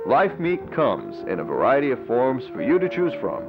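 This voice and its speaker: A man playing a polished, persuasive corporate pitchman narrating a scripted infomercial. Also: wildly swooping pitch, moderate pace. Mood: promotional. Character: smooth-talking corporate pitchman for a meat substitute. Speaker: advertising narrator. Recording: dull lo-fi video audio over music. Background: music.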